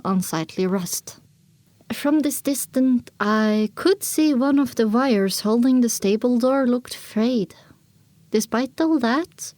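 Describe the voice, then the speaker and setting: A woman narrates a scripted story in the first person. Her voice sits a little high, and the sound is dry, with no room around it. The reading is dramatic, in audiobook style. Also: darkish tone, no room sound.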